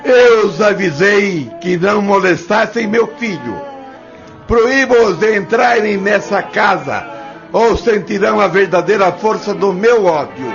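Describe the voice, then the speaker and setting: Casting: male actor as wrathful commanding ghost for audio drama, high gravelly voice, muffled under loud music. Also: expressive, a little slow, heavily acted. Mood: menacing anger. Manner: menacing, commanding. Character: wrathful male tormenting spirit threatening his underlings.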